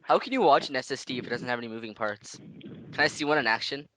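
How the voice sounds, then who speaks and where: A man's voice: mid-range voice, fast, sharp tone, a little hiss or hum. A man making a casual prank phone call, rambling in slang and persistently asking naive questions. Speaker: prank caller.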